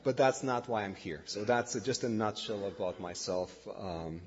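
A middle-aged man from Romania with a Romanian accent, a pastor giving a halting, nervous sermon introduction full of fillers and self-corrections. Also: on the low side, big-room sound, highs cut off. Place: church hall.

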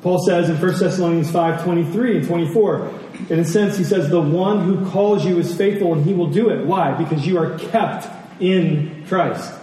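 High-voiced man preaching in an echoing hall. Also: warm tone. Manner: deliberate repetition of key phrases for emphasis. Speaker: pastor preaching. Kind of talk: sermon. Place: large reverberant room, church service. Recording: very echoey hall, distant mic, faint noise.

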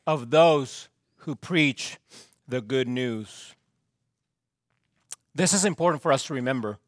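A man preaching a sermon as pastor, his voice straining and hoarse, with slow, emphatic delivery that repeats key phrases and drops low at the ends of lines. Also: fairly bright tone, no room sound, studio quality. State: losing his voice, clearing throat.

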